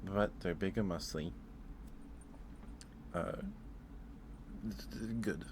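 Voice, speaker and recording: A man streaming casual ASMR chat, talking haltingly, often repeating words and trailing off. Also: deep voice, background noise. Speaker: streamer hosting a ranking.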